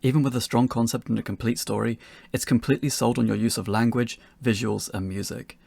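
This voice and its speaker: A man's voice: medium pitch, fairly even intonation, fairly quick, vocal fry, darkish tone, dry recording. A man co-presenting a scripted, pre-recorded instructional talk as an amateur developer.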